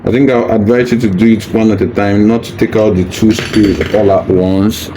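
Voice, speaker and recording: A man's voice: on the low side, steady tone, moderate pace, dark tone. A man patiently walking through a hands-on unboxing tutorial, casual and reassuring, repeating his steps as he goes. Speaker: product demonstrator. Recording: faint background noise.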